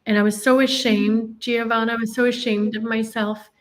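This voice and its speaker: Earnest woman, higher voice. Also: little pitch movement, moderate pace. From Northern Ireland. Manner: earnest, reflective storytelling with many restarts. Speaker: interview guest. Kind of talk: personal life story in a podcast interview.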